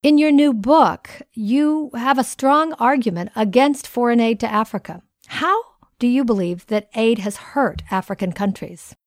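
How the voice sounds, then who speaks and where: A woman's voice: fairly high pitch, animated, measured pace, no room sound. Female radio news host and interviewer, scripted delivery, very dry close sound.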